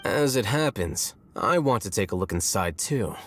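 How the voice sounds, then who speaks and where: An actor playing a composed young scholar in a video game, measured, detached and analytical. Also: male voice, fairly low pitch, animated, a bit bright, slight background noise. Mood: detached curiosity.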